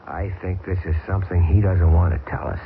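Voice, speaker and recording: A man's voice: deep voice, fairly even intonation, fairly quick. An actor playing a bewildered, skeptical man who stammers and questions everything in an old radio drama. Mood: alarmed. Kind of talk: 1970s radio drama acting. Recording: tinny old radio broadcast with hiss.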